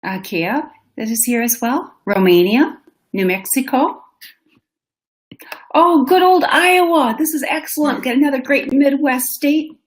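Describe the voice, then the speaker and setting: A woman's voice: sits high, lively intonation, measured pace. A woman with a Minnesota accent hosting an online education webinar, upbeat and effusive, speaking over a video call. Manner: upbeat, effusive, addresses audience directly. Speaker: webinar host. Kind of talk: enthusiastic webinar presenting. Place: indoor room, webcam.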